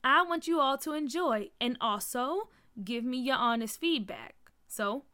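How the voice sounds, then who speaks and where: A woman's voice: sits high, expressive, unhurried, sharp tone, exaggerated voice. A woman voicing a friendly, easygoing uncle who runs a shop, with familiar, slangy talk in a children's story. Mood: upbeat.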